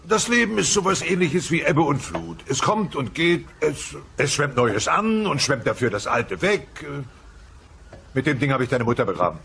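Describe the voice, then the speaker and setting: Polternder, tollpatschiger Dino-Familienvater. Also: male voice, on the high side, big pitch swings, normal speed, vocal fry, fairly bright tone, a little hiss or hum, heavily acted. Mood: sheepish. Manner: evasive, wheedling, blustering. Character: bumbling, blustering dinosaur husband and father. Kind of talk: German-dubbed comedy audio play.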